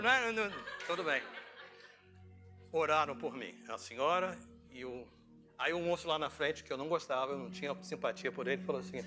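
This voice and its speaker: Brazilian male pastor, conversational sermon storyteller, bright and forceful delivery, faint music underneath. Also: a little high. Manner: conversational storytelling, acting out dialogue, asking rhetorical questions. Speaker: pastor preaching. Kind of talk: informal sermon with personal anecdotes.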